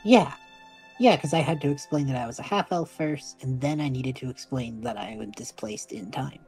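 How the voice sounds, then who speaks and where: A man's voice: medium pitch, normal speed, darkish tone, soft background music, lightly acted. A man playing an easygoing half-elf student in his early twenties in an improvised story podcast, casual, good-natured and a little hesitant. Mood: explaining.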